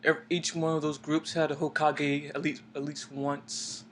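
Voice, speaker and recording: A man's voice: fairly high pitch, level tone, a little slow. A young man chatting casually about an anime, hesitant and trailing off at times. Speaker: co-host. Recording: faint background noise.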